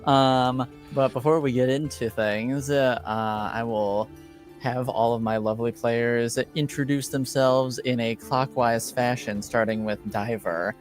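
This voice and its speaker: A man's voice: medium pitch, steady tone, soft background music. A man hosting a livestreamed game as game master, speaking unscripted and often correcting himself as he goes. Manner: halting, self-correcting. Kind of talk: unscripted livestream show introduction.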